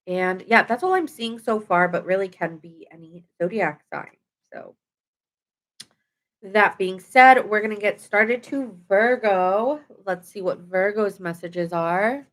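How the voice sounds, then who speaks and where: A woman reads tarot in a loose, chatty livestream manner, full of fillers and quick self-corrections. Her voice is bright and forward at a middling pitch, with a stuffy nose coloring it. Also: lively intonation. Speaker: tarot reader. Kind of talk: casual livestream tarot reading.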